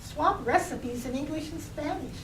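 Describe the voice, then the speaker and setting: A woman poet reading her own work to an audience, phrasing it in a measured, deliberate way. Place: public library reading room. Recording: roomy, distant mic, noisy with rumble.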